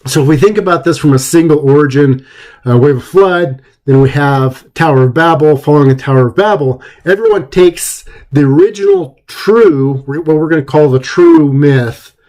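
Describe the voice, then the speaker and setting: A man giving an informal introductory lecture in a conversational, somewhat halting way. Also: on the high side, big pitch swings, a little mellow.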